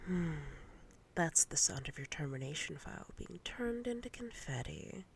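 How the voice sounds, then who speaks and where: A woman playing a dominant, possessive female boss in roleplay ASMR, commanding and teasing in a breathy, often whispered voice. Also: sits low, lively intonation, a little hiss or hum. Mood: seductive, controlling. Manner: slow, deliberate, commanding.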